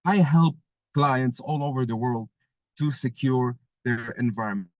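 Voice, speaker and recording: Male webinar instructor, mid-pitched, halting delivery, dry sound. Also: a little mellow, no room sound. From Argentina. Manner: hesitant, many filler sounds. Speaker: lead instructor. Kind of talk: webinar presentation.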